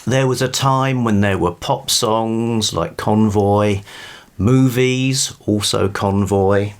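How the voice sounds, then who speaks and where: A British man with a fairly low voice, talking very slowly. He rambles informally, pausing to think, with a wry, amused manner, like a vlogger chatting through books. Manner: halting, thoughtful, wryly amused. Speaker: book review vlogger. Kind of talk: rambling, informal book talk to camera.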